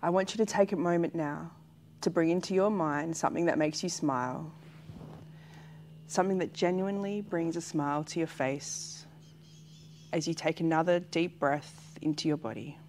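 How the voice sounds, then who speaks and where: A woman leads a guided relaxation meditation in a gentle, calm, unhurried way, leaving long pauses between phrases. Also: deep voice, a little hiss or hum. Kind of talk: guided pregnancy relaxation meditation, spoken slowly and soothingly. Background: background music.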